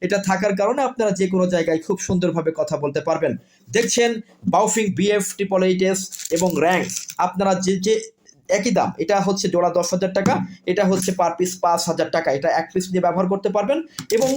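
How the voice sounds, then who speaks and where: Male Bangladeshi product seller, higher-pitched voice, quick delivery, insistent demo pitch with list-like phrasing. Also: darkish tone, slight background noise. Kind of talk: informal product demonstration sales pitch.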